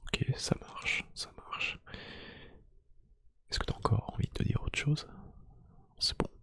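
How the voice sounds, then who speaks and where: A man doing a soft-spoken ASMR roleplay, gently whispering one-to-one to the listener in a hushed, breathy voice. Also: slow pace, no room sound. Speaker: ASMR YouTube creator. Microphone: close microphone.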